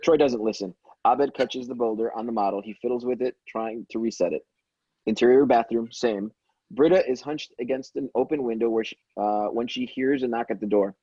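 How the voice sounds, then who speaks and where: Muffled, dark-voiced man reading stage directions. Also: medium pitch, a little fast, slightly rough, no echo. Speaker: stage directions reader at a table read. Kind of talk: reading script directions aloud.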